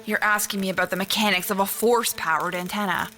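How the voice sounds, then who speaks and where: A woman's voice: medium pitch, steady tone, quick pace, over music, close to an everyday voice. A woman playing an earnest, slightly exasperated rebel mechanic in a motion comic. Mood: exasperated, wry. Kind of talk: dramatic motion comic acting.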